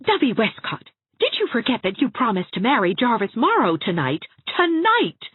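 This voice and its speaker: A woman voicing a brisk, exasperated young woman in an audiobook, sharp, insistent and scolding. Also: a little high, big pitch swings, husky, no echo, voice pushed up. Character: brisk, sarcastic, exasperated young woman, a bossy loyal friend. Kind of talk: audiobook character voice. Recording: thin phone-like narrow-band sound.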